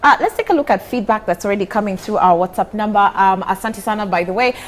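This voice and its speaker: A woman's voice: medium pitch, quick pace, a little hiss or hum. Fluent woman radio host. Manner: attentive, constant "mm-hmm" backchannels, fluent presenter reading listener texts. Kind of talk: radio studio interview.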